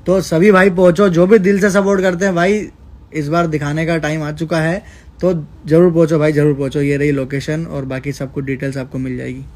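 A man in a selfie video forcefully urging fans to show up. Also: fairly high pitch, level tone, brisk. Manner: forceful, hyping crowd.

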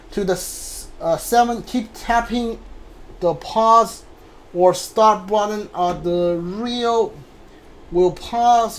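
A man with a high voice narrates his gameplay live in a casual style. He speaks very slowly and haltingly, working out his choices aloud as he goes. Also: slight background noise. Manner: hesitant, halting, thinking aloud. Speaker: game streamer.

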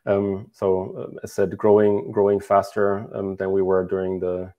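A man's voice: low voice, completely flat delivery, moderate pace, phone-quality sound. A man in his thirties giving answers as a company head in a remote interview. He talks with many fillers and restarts. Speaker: company CEO, guest. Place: bright room at home, indoors. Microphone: video call.

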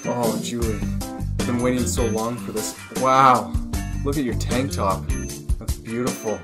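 Casting: actor as gushing young soap-opera leading man, smitten, slow-paced delivery. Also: mid-range voice, steady tone, close to an everyday voice. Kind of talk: improvised soap-opera acting. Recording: music playing clearly behind.

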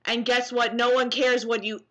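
A young American woman reacting casually to comments she reads aloud, sarcastic and animated, at home on a webcam mic. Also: a little high, moderate pace, dull audio. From New Jersey, US. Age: young adult. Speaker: vlogger. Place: small room at home, indoors.

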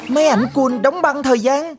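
A woman with a southern Vietnamese accent voicing a grumbling, mischievous young boy in an animated cartoon. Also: high-pitched, normal speed, lightly acted. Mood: startled, cheeky. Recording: loud music behind.

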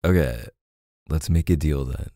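Man voicing a playful doting boyfriend, ASMR roleplay, dark mellow soft voice, teasing murmurs, close dry sound. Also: no echo. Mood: playful, focused. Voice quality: low, gravelly, soft. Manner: teasing, murmuring, hesitant pauses and hums. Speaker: audio roleplay performer.

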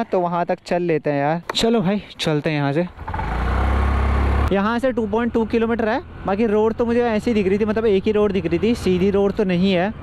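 A young man, vlogging casually and thinking aloud, with English words mixed in. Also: sits high. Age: young adult. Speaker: motovlogger. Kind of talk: casual vlog chat. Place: outdoors on roads, riding a scooter and stopping. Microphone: action camera on helmet or handheld. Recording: noisy outdoor action-camera audio with vehicle sound.

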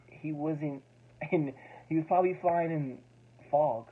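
A man's voice: on the high side, slow pace, husky. Casual slangy young man, lo-fi phone audio. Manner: casual slangy, repeats himself. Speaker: student pilot explaining recordings. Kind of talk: casual explainer over playback.

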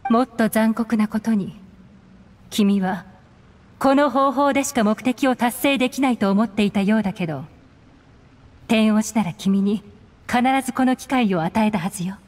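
A woman voicing a cool, shrewd female agent in an anime game, composed, measured and pointed. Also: fairly high pitch, lightly acted. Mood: cool reproach. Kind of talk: anime video game voice acting. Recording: long hall reverb, game music behind. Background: background music.

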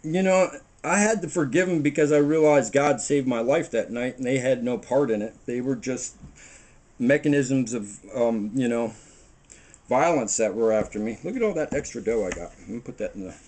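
A man's voice: medium pitch, moderate pace. A middle-aged man hosting a home cooking video, giving a rambling, preachy monologue while baking in a small kitchen, picked up by a camera mic a few feet away. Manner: preachy, animated, talks while working with his hands. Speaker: home cooking show host. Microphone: camera mic on the table a few feet away.

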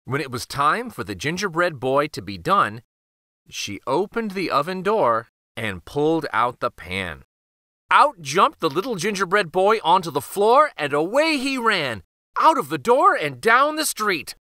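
Brief: man narrating a kids' storybook, slow and clear, bright voice with a lot of melody. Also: fairly high pitch, dry recording, clean studio sound. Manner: expressive, deliberate storytelling. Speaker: children's book reader. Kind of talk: storybook read-aloud.

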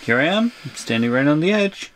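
A man playing a shrunken captive in an ASMR roleplay, anxious, pleading and trying to reason calmly. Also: a little high, a little fast, slight background noise. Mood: defiant. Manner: pleading, placating.

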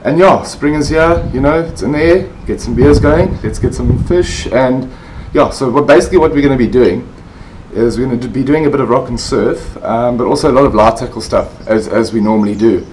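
Chatty South African man, relaxed vlog. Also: mid-range voice, brisk, slight background noise, low-quality recording. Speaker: fishing vlogger. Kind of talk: casual vlog to camera. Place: outdoor covered balcony, then an indoor living room.

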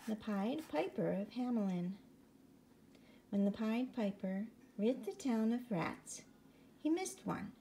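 A woman reading a picture book aloud to young children, gently and often hushed, asking the listener questions as she goes. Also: fairly high pitch, lively intonation, slow, background noise. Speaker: bedtime story reader.

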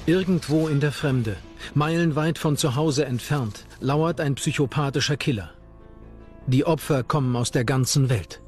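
A man's voice: fairly high pitch, moderate pace, a little mellow. Male true-crime narrator over music. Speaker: documentary narrator. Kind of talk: scripted true-crime documentary narration in German.

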